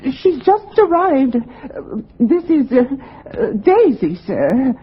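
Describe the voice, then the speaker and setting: A woman's voice: high voice, big pitch swings, slow pace, breathy edge, full character voice. An actress playing an anxious, deferential middle-aged landlady in a 1940s radio drama, flustered, stammering and apologetic. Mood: flustered, placating. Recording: muffled, noisy 1940s radio recording.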